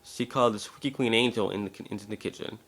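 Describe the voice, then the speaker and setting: A man with a somewhat low voice reads a story as narrator. It is an amateur dramatic reading, with occasional stumbles and repeated words. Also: fast talker, fairly bright tone, slight background noise.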